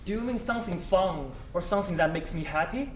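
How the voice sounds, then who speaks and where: A man on stage playing a polite, hesitant older widower with a halting, formal, understated manner. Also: sits high, expressive. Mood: puzzled, reflective. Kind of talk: stage play acting. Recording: roomy stage recording, faint noise.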